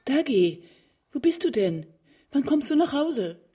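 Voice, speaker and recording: An actress in a German radio drama playing a brittle, wistful middle-aged widowed mother with a genteel, complaining manner and a husky voice. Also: sits high, big pitch swings, measured pace, dark tone, recorded from a distance. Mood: anxiously friendly.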